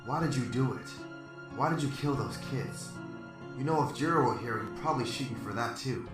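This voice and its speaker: A man's voice: medium pitch, expressive, a little fast, close to an everyday voice. A man playing a restrained, by-the-book police detective in a radio drama, speaking firmly and with control. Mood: grave. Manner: firm, controlled, reproachful. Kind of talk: amateur radio drama acting. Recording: roomy, slightly distant, music underneath.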